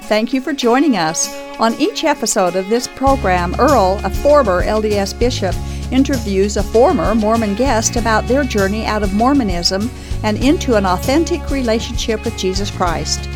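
A woman's voice: on the high side, lively intonation. A woman reading a formal, scripted introduction to an interview show. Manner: measured, formal announcing. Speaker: announcer. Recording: voice-over with clear music behind.

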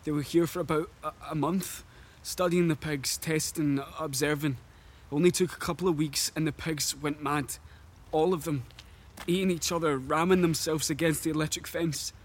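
A man acting in a horror radio play as an agitated, tormented recluse who tends abandoned pigs, speaking urgently and haltingly. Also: on the high side, fairly even intonation, natural voice. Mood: grim, explaining.

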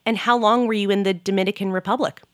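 A woman's voice: medium pitch, fairly even intonation, fast talker, no echo, studio quality. A woman hosting an interview, asking questions in an attentive, encouraging way. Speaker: interviewer host.

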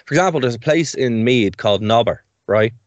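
A man's voice: medium pitch, expressive, fairly quick, no echo, highs cut off. A young Irish man co-hosting a community radio show, chatty and rambling, laughing easily between points. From Ireland. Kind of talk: casual radio chat.

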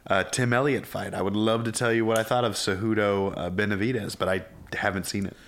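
A blunt man co-hosting a podcast, speaking in a slightly low voice. He delivers opinions emphatically and peppers them with swearing. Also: fairly even intonation, fairly quick, a bit bright. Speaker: podcast co-host. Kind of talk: casual chat.

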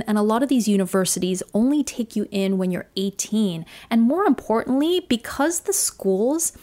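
A woman's voice: medium pitch, a little mellow. A woman who was born in Singapore and raised in Australia, hosting a solo podcast and telling personal stories in an earnest, reflective way. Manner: earnest, reflective, shifts pace often. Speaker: podcast host.

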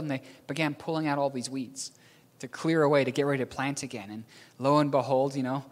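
A man from New Zealand preaching a storytelling sermon, rambling a little and correcting himself with a chuckle. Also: fairly high pitch. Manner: rambling, self-correcting, chuckles at own slips. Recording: long hall reverb.

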